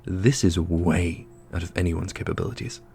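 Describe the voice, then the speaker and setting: A man's voice: low voice, little pitch movement, moderate pace, creaky, darkish tone, slightly rough, pitched below the performer's own voice, full character voice. A man playing a blunt, skeptical, plain-spoken adventurer in a fantasy audio roleplay, with an incredulous, demanding manner. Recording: music under the voice.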